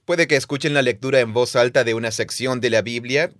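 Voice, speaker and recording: A man's voice: mid-range voice, brisk, fairly bright tone, no room sound, studio quality. A man co-hosting a casual conversation, explaining ideas at length and murmuring agreement while his partner talks. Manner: explanatory, thinks aloud with frequent agreeing murmurs. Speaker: podcast co-host, Bible teacher.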